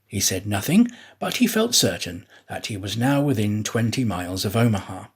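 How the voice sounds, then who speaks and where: A man reading a classic adventure story aloud as an audiobook narrator, with a deliberate, dramatic storytelling delivery. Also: fairly low pitch, very animated, slightly rough. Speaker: audiobook reader, actor.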